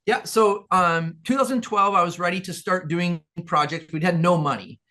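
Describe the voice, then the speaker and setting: A man's voice: high voice, normal speed, bright, forceful tone. A man from Peru, a guest in an interview, telling his story earnestly in a rambling way, with many restarts. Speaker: guest, business owner.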